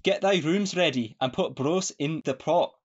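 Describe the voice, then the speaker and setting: A man's voice: fairly high pitch, moderate pace, close to an everyday voice. A man acting a cheerful, sly young Scottish country lad in broad dialect for a farcical radio play. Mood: cheerful, reassuring. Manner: folksy, earnest, broad dialect. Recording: dull lo-fi home recording.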